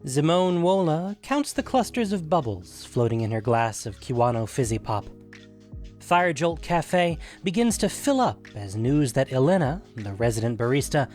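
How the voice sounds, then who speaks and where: Expressive male storyteller with lively pitch. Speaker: narrator and podcast host. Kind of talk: scripted story narration.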